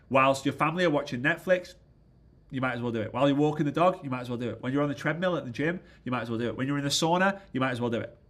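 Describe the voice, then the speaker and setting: A congested man giving an energetic motivational business training talk, often checking in with "right?". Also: medium pitch. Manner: energetic, keeps asking "right?". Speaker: business coach.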